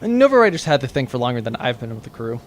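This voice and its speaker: A man's voice: mid-range voice, sing-song, fast talker, a little hiss or hum, pitched below the performer's own voice, natural voice. A man playing a laconic spaceship crewman in a comic audio drama. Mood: matter-of-fact.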